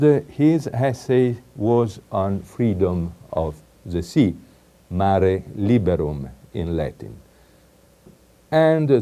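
A middle-aged man with an Italian accent, a law professor giving a recorded academic lecture in a measured way with frequent hesitations. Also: fairly low pitch, warm tone. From Italy.

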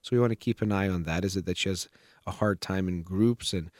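A man acting as a counselor, calmly advising a parent on their child, talking in a measured way with many fillers. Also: low voice, no room sound, studio quality. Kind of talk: consultation with a parent.

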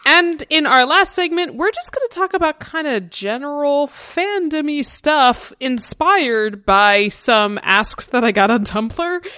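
A woman's voice: high-pitched, animated, a little slow, few pauses, sharp tone, no room sound, studio quality. A woman hosting a casual podcast chat, talking frankly and laughing often. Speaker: podcast host.

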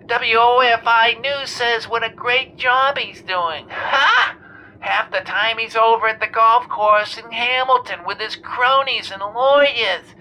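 A man voicing an angry woman caller with a shrill, ranting delivery. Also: slow pace, bright, forceful tone, exaggerated voice, poor audio.

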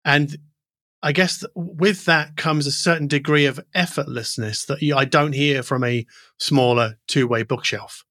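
A man's voice: on the high side, fairly even intonation, measured pace, pushed and bright, no echo. Interview host, male, middle-aged. Reflective, curious delivery, mid-range voice, tight dry sound. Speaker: podcast host interviewing an audio engineer. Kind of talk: conversational technical interview.

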